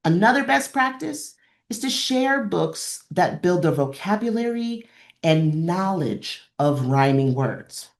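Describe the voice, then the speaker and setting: A woman's voice: fairly low pitch, sing-song, slow, gravelly. A woman presenting an instructional webinar, explaining in an encouraging way and repeating phrases for emphasis. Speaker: presenter of a librarian training session. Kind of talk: instructional webinar talk with examples.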